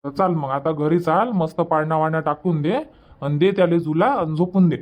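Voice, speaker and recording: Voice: medium pitch, fairly even intonation, moderate pace, highs cut off, close to an everyday voice. A performer plays a village husband and father from a cartoon comedy. He speaks in a Varhadi Marathi accent, handing out orders and scolding like an exasperated head of the house. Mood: bossy. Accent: Varhadi Marathi from Vidarbha. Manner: bossy, scolding.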